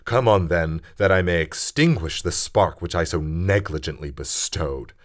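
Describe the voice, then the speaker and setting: Raging young scientist read by a man, declamatory storybook outburst, deep-voiced. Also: moderate pace, lightly acted. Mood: furious. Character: enraged young male scientist cursing his creation.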